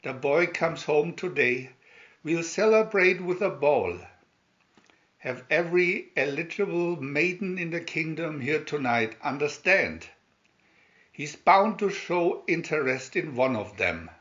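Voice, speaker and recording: A man's voice: a little high, expressive, slow, a bit bright, lo-fi sound. A man voicing a blustering, impatient king in a storybook reading, with emphatic, commanding delivery. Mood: excited, commanding.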